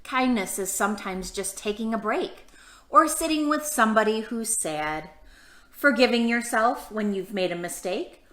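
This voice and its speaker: A woman hosting a children's storytime, reading a picture book aloud and chatting to young listeners with gentle questions. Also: fairly high pitch, lively intonation, moderate pace. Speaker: children's storytime host and librarian. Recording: echoey room sound.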